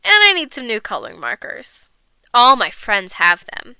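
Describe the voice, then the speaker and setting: A woman's voice: fairly high pitch, huge pitch swings, moderate pace, pushed and bright, smooth, no room sound, full character voice. A woman voicing an eager, wheedling little girl in a storybook, pleading emphatically and drawing out key words. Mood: pleading, insistent. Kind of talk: acted children's storybook dialogue.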